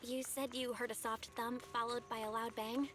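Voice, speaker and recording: Woman as a high-voiced easygoing young investigator. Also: little pitch movement, normal speed, close to an everyday voice. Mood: practical. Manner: casual, reassuring. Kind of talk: video game voice acting. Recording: game music behind. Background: game music.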